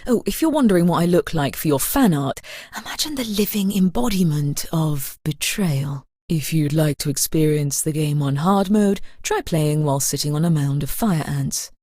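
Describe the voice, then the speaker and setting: A woman's voice: low-pitched, normal speed, warm tone, no room sound, clean studio sound. A woman voicing a sly, sinister disembodied narrator in a video game, arch and conspiratorial in manner. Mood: sly, teasing. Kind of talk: video game character voice acting.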